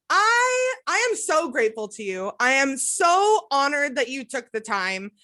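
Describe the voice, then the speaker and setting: A woman hosting a podcast, with a notably high voice. She gushes over her guest and rattles off playful asides. The chat is loose and unscripted. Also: bright, forceful tone. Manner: effusive, gushing, quick asides. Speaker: podcast host. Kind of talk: casual interview chat.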